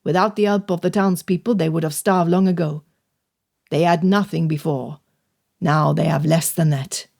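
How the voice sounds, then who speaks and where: A woman voicing a burly older village man who speaks with blunt, solemn gravity and a lowered voice. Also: steady tone, moderate pace, vocal fry, darkish tone. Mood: grave.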